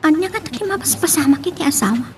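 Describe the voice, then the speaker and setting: A woman's voice: high-pitched, lively intonation, quick pace, recorded from a distance, soft background music. A woman with a Filipino Ilocano accent acting a suspicious, hurt wife in a radio-style romance drama, plaintive and probing. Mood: suspicious, worried. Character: suspicious, hurt young wife doubting her husband.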